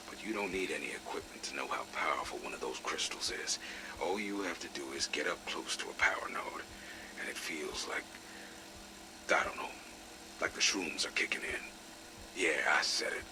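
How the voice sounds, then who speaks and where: A man playing a grizzled, blunt veteran security chief in a game audio log, with a gravelly, breathy voice. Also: rumbling low, normal speed, background noise. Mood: wary, explanatory, then blunt, defiant. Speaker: military security commander. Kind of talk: acted audio log briefing.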